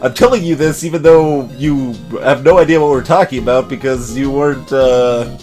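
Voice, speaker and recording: A man hosting a let's play, giving casual, halting, amused commentary with music behind him. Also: medium pitch, steady tone, darkish tone. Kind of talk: casual gaming commentary with voiced dialogue reading.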